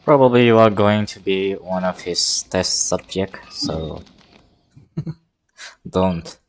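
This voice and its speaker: A man streaming a game with casual, somewhat halting live commentary. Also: low voice, slight background noise, low-quality recording.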